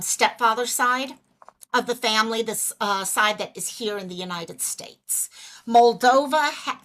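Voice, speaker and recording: A woman hosting a casual livestream, chatty and greeting viewers by name, a little hoarse as she is losing her voice. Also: on the high side, bright, forceful tone. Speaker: livestream host.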